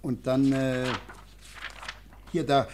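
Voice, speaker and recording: An actor playing an inquisitive, fussy gentleman, eager and halting, in a noisy German radio play recording. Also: mid-range voice, flat delivery.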